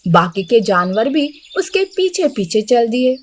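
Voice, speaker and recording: Female narrator for a children's moral tale: high register, measured slow read, faint birdsong underneath. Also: sing-song, darkish tone, a little hiss or hum. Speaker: story narrator. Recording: birdsong and ambience behind the voice.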